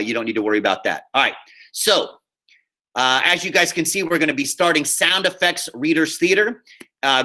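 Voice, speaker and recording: A man with a slightly high, very bright voice teaches a high school class online. He explains step by step in an informal way, speaking straight to his students and checking in often. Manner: informal, addresses students directly with frequent check-ins. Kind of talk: live online class instruction, screen-share walkthrough. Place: remote video class call.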